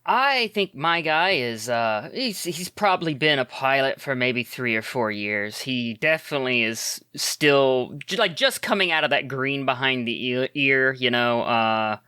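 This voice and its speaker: A man's voice: mid-range voice, expressive, unhurried, hardly any pauses, pushed and bright, smooth, no echo. A man playing in a tabletop actual play, describing his pilot character hesitantly with many fillers. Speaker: player.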